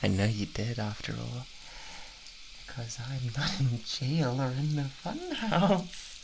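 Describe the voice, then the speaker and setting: A creaky British boyfriend, acted by a man, coos possessively in an ASMR roleplay. His pitch swoops as he drawls, doting and menacing at once, over clear background noise. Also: expressive. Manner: cooing, slow, possessive, drawn-out words. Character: obsessive, doting yandere boyfriend, soft-spoken and menacing. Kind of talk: intimate ASMR roleplay, improvised.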